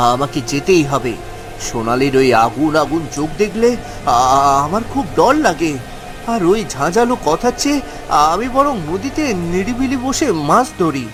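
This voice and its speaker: Man as poor timid fisherman, high voice, plaintive, very animated, cartoon melodrama, music behind. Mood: worried, pleading. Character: poor henpecked fisherman, timid, despairing, kind-hearted. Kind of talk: cartoon dialogue.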